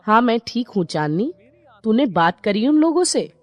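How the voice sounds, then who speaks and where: A woman voicing a worried, homely mother in an animated moral story, speaking earnestly. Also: on the high side, normal speed, no room sound. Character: worried, homely mother seeking a match for her daughter.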